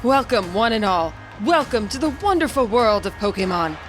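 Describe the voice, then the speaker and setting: A woman's voice: a little high, expressive, normal speed, faint music behind, close to an everyday voice. A woman voicing a male business chairman giving a formal, pompous and welcoming public speech, as in a game story. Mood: grand, welcoming. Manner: formal, showy public-speaker delivery.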